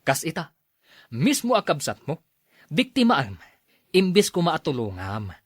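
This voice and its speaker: A man performing a principled husband and father who chides his wife's greed. His pitch moves in a lively, expressive way. The sound is dry and close. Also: a little slow, slightly rough, no room sound, full character voice. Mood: reproachful. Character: fair-minded husband and father. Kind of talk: radio drama acting.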